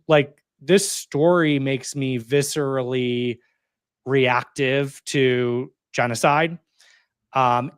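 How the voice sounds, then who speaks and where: Here is a man in his thirties speaking as an interview guest. He talks casually and chattily, filling his sentences with "like" and "you know" and breaking into quick laughs, at a middle pitch. The recording is dry and close, with no room sound. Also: clean tone, no room sound. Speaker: interview guest, community organizer. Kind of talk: casual conversational storytelling.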